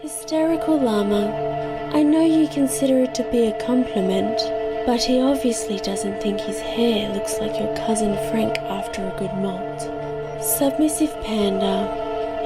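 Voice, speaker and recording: A woman playing a coy, blunt mailbag advice columnist in a scripted comedy radio show, delivering risque advice deadpan. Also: fairly high pitch. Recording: loud music under the voice.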